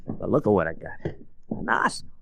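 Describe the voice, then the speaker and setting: An actor playing an excitable, questioning adventurer's sidekick in a 1940s radio drama, hurried and anxious. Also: male voice, low voice, vocal fry, heavily acted. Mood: excited discovery. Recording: noisy, clicking old radio recording.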